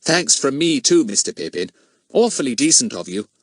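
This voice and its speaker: An earnest teenage schoolboy detective, as a male narrator plays him, pitched up high and lively. He is well-spoken, confident and a little cheeky, eager to persuade, in a children's storybook reading with a dry, close sound. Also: normal speed, husky, no echo. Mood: grateful. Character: earnest, confident teenage boy sleuth, polite but cheeky.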